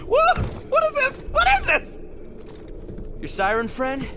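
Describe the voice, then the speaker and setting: A high-voiced, swaggering young male game villain. He is boastful and volatile, with lively swings in pitch, over clear background noise. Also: measured pace. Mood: manic, gloating. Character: cocky, unhinged young male cult leader villain drunk on new power. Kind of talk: video game voice acting.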